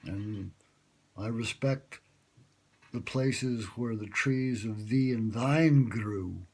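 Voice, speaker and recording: A man with a low-pitched, husky voice rambles through a late-night monologue, full of ums and self-mocking asides. He sounds close to the phone he records on. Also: drawn-out words, a little mellow. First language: English. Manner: meandering, wry, self-mocking, many fillers. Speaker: vlogger pitching a radio show. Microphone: smartphone held close.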